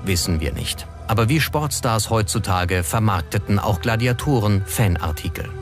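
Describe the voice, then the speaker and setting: Deep voiced guy doing documentary narration, music under it. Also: expressive, normal speed.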